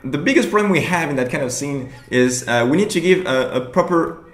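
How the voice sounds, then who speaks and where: Halting man in an echoey room. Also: fairly high pitch, expressive. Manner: halting, many restarts, laughs often. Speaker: improv performer reviewing his show. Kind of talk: casual commentary over show footage.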